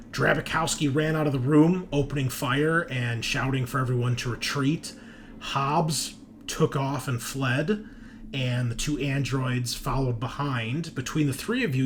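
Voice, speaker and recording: A man acts as game master, retelling the events of a tabletop game with a storyteller's sense of drama. His voice sits at a middling pitch, and there is noticeable background noise and ambience around it. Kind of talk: recapping a tabletop game session.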